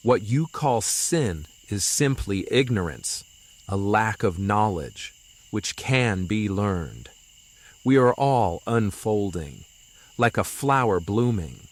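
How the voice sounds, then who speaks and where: Slow talking gentle wise young guy, earnest mystic in an audio drama, lowish voice. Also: big pitch swings, exaggerated voice. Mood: earnest, reassuring. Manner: slow, earnest, philosophical delivery. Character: gentle, wise young male mystic consoling his despairing friend.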